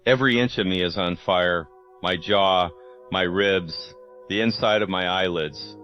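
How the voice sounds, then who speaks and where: A man's voice: deep voice, unhurried, highs cut off, soft background music. An actor playing a suffering undead man who pleads in anguish, in a horror radio drama. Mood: anguished pleading. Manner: anguished, begging.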